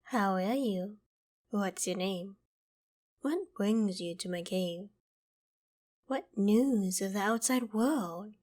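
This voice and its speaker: Woman playing a slow-speaking, imperious ancient dragon. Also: medium pitch, darkish tone. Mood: excited, flustered. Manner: theatrical, deliberate, imperious phrasing. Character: ancient female dragon, haughty, menacing, curious about humans. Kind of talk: ASMR roleplay, dramatic monologue to the listener.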